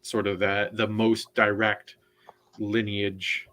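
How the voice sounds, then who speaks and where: Man, slow-talking, low voice, halting and explanatory, checks "right?" often. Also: level tone, bright, forceful tone. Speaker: co-host and panelist. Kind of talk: casual online book discussion.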